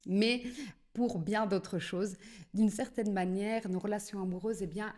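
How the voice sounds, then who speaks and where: A woman's voice: medium pitch, dark tone. Chatty Belgian woman explaining to camera. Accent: Belgian French. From French-speaking southern Belgium. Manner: chatty, addresses the viewer directly, many "eh bien" and "alors". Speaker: psychogenealogy video creator. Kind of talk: casual explanatory talk to camera.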